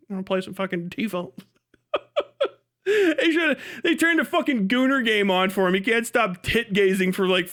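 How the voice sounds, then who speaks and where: Guy streamer w high bright voice, swears a lot, mocking reaction commentary, repeats himself. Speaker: live streamer reacting to a video. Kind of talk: casual, profane commentary on a video.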